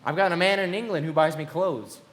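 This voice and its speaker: A man playing a wealthy young gentleman in a live radio play, lovestruck and eager to impress. The voice is a little high, with a genteel, theatrical delivery. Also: fairly quick, close to an everyday voice. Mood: proud, genteel.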